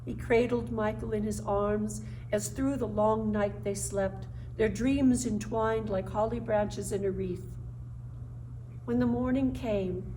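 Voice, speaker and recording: A woman reading a fairytale aloud in a gentle, expressive storytelling manner. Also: medium pitch, a little hiss or hum, rough recording.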